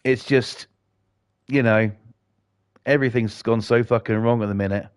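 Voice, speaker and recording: A middle-aged British man chatting and ranting with lots of swearing while he plays a game and talks to viewers, his voice a bit croaky. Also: mid-range voice, steady tone, moderate pace. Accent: British English. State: slightly croaky. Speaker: gaming livestreamer. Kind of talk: casual chat while playing a game.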